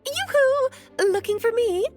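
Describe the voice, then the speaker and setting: A woman's voice: very high-pitched, lively intonation, measured pace, faint music behind. A woman playing a whimsical, self-assured mage in a video game, breezy, teasing and theatrical. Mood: playful, teasing. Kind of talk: video game character dialogue.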